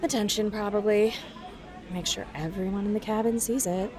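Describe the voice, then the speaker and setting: A woman's voice: medium pitch, fairly even intonation, normal speed, over music, lightly acted. A woman playing a smug, gossipy teenage camp girl in an audio drama, talking in a casual, conspiratorial way. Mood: knowing. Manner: conspiratorial, casual, filler-laden teen talk. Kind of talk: naturalistic audio drama dialogue.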